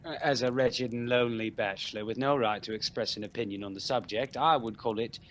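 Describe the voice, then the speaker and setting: A woman's voice: rumbling low, a little fast, a little hiss or hum. A woman playing a droll, self-pitying bachelor party guest in a radio play. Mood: droll, mock-humble.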